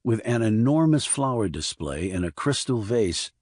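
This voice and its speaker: A man reading a scripted thriller audiobook in a measured, descriptive storytelling manner. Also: lowish voice, very animated, a little mellow, dry recording, studio quality. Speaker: audiobook narrator.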